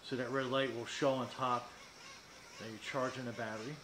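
A man's voice: medium pitch, flat delivery, moderate pace. A man in his fifties giving a casual, unscripted product demonstration, rambling a little and thinking aloud as he goes. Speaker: product reviewer. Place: small indoor metal-walled studio, seated at a desk. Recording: echoey room with faint noise.

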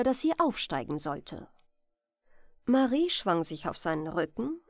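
A woman narrates a bedtime fairy tale gently and slowly. Her intonation rises and falls expressively, and the recording is dry. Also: medium pitch, no echo. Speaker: storyteller.

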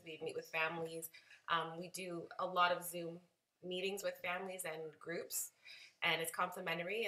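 A woman's voice: on the low side, bright, forceful tone, slight background noise, rough recording. A woman financial advisor on a video call, reassuring and emphatic. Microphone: video call webcam.

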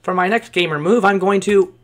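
A man's voice: high voice, brisk. A young man playing an online board game with friends over a voice call, joking and laughing often. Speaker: player. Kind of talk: casual game chat with friends.

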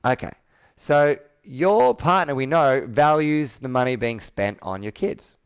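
A man's voice: medium pitch, lively intonation, a little slow, smooth. A man in his thirties coaching sales through roleplay in a persuasive, direct manner. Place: indoor room with whiteboard, on a video call.

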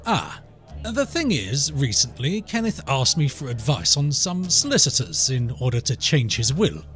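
A man's voice: medium pitch, big pitch swings, moderate pace, fairly bright tone, soft background music. A man playing a discreet, hesitant village acquaintance in an audio drama, polite. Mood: awkward.

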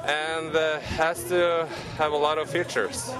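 A man, an athlete, explaining racing in a relaxed way outdoors into a handheld mic. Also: fairly high pitch, slow pace, over music. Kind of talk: casual interview answers. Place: outdoors at a ski course.

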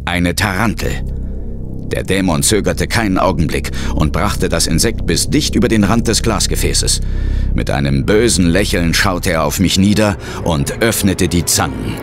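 A grim ghost hunter narrates his ordeal. His deep voice is grave and measured, telling a scripted horror story over clear music. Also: lively intonation. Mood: grave, tense. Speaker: first-person narrator and hero.

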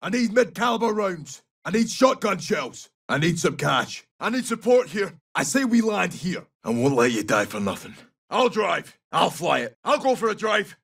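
A tough Scottish special forces soldier from a military video game. His voice is husky and crackles with gravel, swinging widely in pitch as he snaps out brisk callouts. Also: sing-song, fairly bright tone, distant mic, full character voice. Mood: brisk, businesslike. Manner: clipped, brisk, urgent.

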